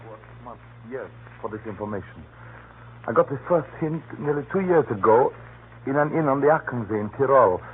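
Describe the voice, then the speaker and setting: Male actor as a hunted little man, secretive, earnest, nervous insistence, medium pitch, old radio play, narrow hissy recording. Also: expressive, moderate pace, close to an everyday voice. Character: hunted, fearful little man, a spy-hunting informant. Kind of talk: 1930s radio drama acting. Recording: muffled, noisy 1930s radio recording. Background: faint hiss and noise.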